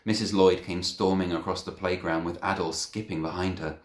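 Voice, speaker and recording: A man reading a children's novel aloud as an expressive narrator. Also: low voice, normal speed. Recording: echoey room.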